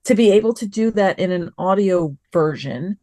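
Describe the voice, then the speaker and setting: A woman with a mid-pitched voice talks as a podcast panelist. She chats casually and expressively, voicing little reactions as she goes. The recording is dry and close. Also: expressive, normal speed, mellow, dry recording. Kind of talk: casual review chat.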